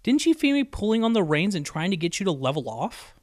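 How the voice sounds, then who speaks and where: Guy doing a nerdy unicorn girl in a story reading, bit snippy, explains things precisely, highish voice. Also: sing-song, fairly quick, dry recording, studio quality. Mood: annoyed, lecturing. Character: bookish, exasperated young female unicorn pony. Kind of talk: acted audiobook dialogue.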